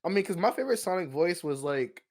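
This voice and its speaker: A man co-hosting a podcast, talking casually and conversationally with lots of slang and animated opinions. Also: high voice, quick pace. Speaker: podcast co-host. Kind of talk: casual movie discussion chat.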